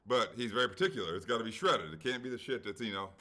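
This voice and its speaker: Animated man telling a long story. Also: on the low side, racing. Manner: animated storyteller, acts out quoted people, swears freely. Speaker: podcast co-host. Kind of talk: casual chat, long anecdote.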